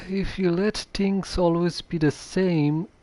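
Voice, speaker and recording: A woman comments casually while streaming a game. Her voice is mellow and mid-pitched, and she talks slowly and haltingly in a dry, close sound. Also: dry recording.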